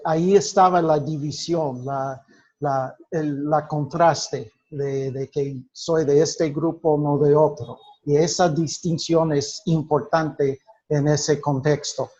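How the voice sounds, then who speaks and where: A male teacher talks his class through a lesson at an unhurried, deliberate pace, often restarting his phrases and putting questions to the room. His voice sits a little high for a man. The recording is muffled and lo-fi. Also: a little mellow. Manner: slow, halting delivery with many restarts, quizzing the class. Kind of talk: classroom lecture. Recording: dull lo-fi recording.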